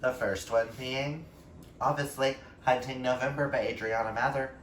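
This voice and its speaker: A man's voice: medium pitch, steady tone, moderate pace, distant mic. Gushing man in an echoey room. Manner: gushing, emphatic. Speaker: book reviewer on a vlog. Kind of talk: casual book chat to viewers. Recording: echoey room with faint background noise.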